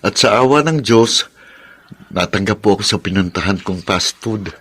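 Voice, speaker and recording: A man playing an earnest, contrite young son in a Filipino radio drama, with a husky voice and respectful delivery. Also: lowish voice, lively intonation, moderate pace, fairly bright tone.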